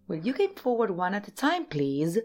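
A woman voicing an effusive, excitable little eccentric male factory owner in a high, fluty storybook voice. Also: natural voice. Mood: welcoming. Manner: effusive, exclamatory, polite, rapid repeated phrases. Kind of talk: storybook character voice.

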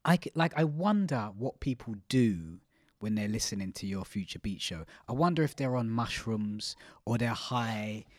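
A man's voice: lowish voice, expressive, moderate pace, no echo, studio quality. A man hosting a podcast, talking in a reflective, casual way with some swearing. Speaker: podcast host. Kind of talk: casual interview chat.

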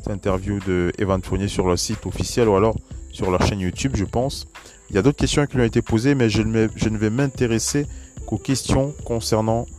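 A man with a fairly low voice hosts a solo basketball news podcast. He speaks casually, filling gaps with hesitations and restarting phrases as he goes. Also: fairly even intonation, brisk, darkish tone, faint music behind. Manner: casual, many fillers and restarts. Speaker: podcast host. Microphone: voice message recording.

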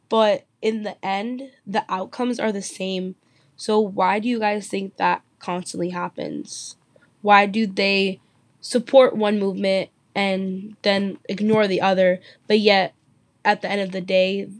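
An earnest young woman hosts a student discussion show. Her voice sits in a steady, narrow pitch band. The recording is close and dry. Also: no echo. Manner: earnest, asks the panel questions. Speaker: host.

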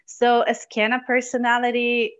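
A woman from Morocco talking casually as an interview guest over a video call on a headset, chatty and quick to laugh. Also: fairly high pitch, completely flat delivery, clean tone, dry recording, muffled recording. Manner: chatty, laughs easily, many fillers. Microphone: headphones with mic on video call.

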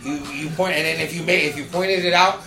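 A man's voice: a little high, fairly even intonation, fairly quick, gravelly. A man telling loose, profane stories in a casual chat among friends. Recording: echoey room with music under it.

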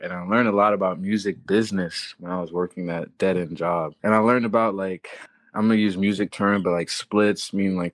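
Low-voiced young man telling his story. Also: dry recording. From Florida, born in Haiti. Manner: relaxed storytelling, slangy. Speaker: guest rapper and songwriter. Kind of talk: casual podcast interview.

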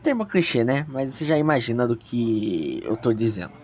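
A woman's voice: very deep voice, big pitch swings, normal speed, heavy background noise. A woman with a Brazilian accent narrating an audio story in a dramatic, chatty way.

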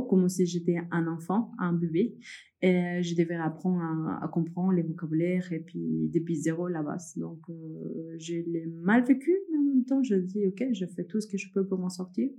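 Mellow-voiced woman from Peru, hesitant speech. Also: lowish voice. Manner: hesitant, many fillers and restarts, laughs often. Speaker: guest, entrepreneur. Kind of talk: casual interview conversation.

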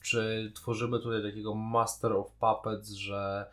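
A man's voice: on the low side, level tone, a little slow, fairly bright tone. A man co-hosting a podcast, casually talking through and arguing his point of view. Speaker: podcast co-host reviewing a TV series. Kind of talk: casual discussion.

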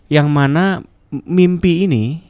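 A man's voice: on the high side, slow, dry recording. Man explaining marketing on radio. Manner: lively explaining, sprinkles English terms, frequent "ya" check-ins. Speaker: marketing expert guest. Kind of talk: radio talk show chat. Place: radio studio. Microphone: close studio mic.

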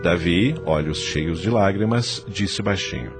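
A man's voice: low voice, unhurried, over music. A man with a Brazilian accent narrating a scripted radio drama in a solemn, dramatic storytelling manner.

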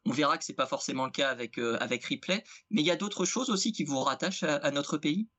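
A man's voice: a little high, fast, fairly bright tone. Man asking interview questions. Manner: friendly, a little hesitant. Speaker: co-host, editor.

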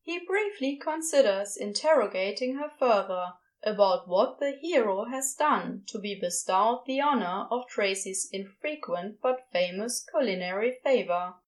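A woman's voice: medium pitch, lively intonation, measured pace, hardly any pauses, rough recording. A woman narrating scripted fiction as the storyteller of an audio drama.

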